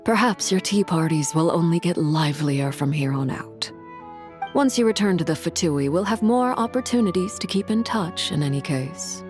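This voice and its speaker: A woman's voice: lowish voice, animated, normal speed, a little mellow, soft background music, close to an everyday voice. A woman voicing a poised, commanding woman in a video game, formally courteous, composed and dryly amused.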